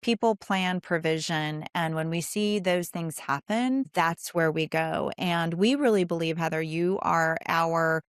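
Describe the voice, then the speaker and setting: Effusive woman host, smooth low voice. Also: measured pace, few pauses, dry recording, clean studio sound. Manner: effusive, encouraging, repeats phrases for emphasis. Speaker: podcast host and producer. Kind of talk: warm faith-based interview chat.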